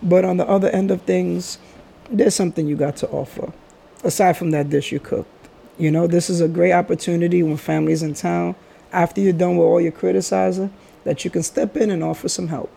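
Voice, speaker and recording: A man's voice: high-pitched, steady tone, a little fast, vocal fry, warm tone. A middle-aged man from the Bronx hosting a podcast, talking in a reflective, rambling way and laughing often. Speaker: podcast host. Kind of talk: casual podcast chat.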